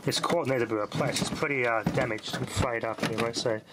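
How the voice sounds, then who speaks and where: A man's voice: medium pitch, steady tone, a little fast. A man casually talking through a hands-on demonstration, rambling and muttering to himself as he works. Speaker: hobbyist vlogger testing radios. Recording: loud noise with handling clicks.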